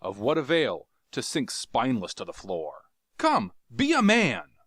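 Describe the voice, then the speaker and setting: A bold, impatient warrior from a storybook reading, giving orders in a firm, lively voice, as a man puts him on. The sound is close and dry. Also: fairly low pitch, a little slow, dry recording, full character voice. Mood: commanding. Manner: commanding, impatient. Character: bold, impatient young male warrior prince.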